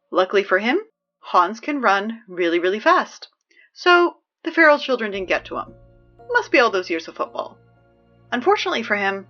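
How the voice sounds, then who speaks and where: A woman playing a chipper high school student reading announcements in an audio drama, brisk and deadpan about absurd horrors. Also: dull audio. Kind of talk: scripted audio drama acting as public announcements.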